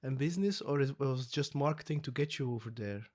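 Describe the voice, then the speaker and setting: Halting male podcast host. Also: medium pitch, darkish tone. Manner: halting, many fillers and restarts, friendly. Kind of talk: casual interview talk.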